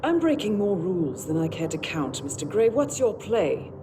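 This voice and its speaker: An actress playing a poised, sceptical intelligence handler, speaking formally and with control in a video game cutscene. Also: female voice, medium pitch, very animated, moderate pace, background noise. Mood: probing. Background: cinematic music.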